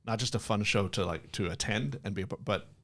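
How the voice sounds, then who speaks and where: A man's voice: fairly low pitch, fast, fairly bright tone. An American man hosting an interview in a casual, informal, conversational way. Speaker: interview host.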